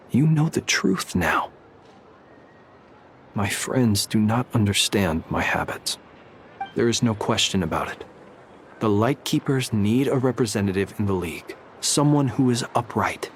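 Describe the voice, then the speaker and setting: A man playing a formal, composed and encouraging comrade in a video game, speaking in measured, courteous phrases. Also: mid-range voice, creaky, a little hiss or hum.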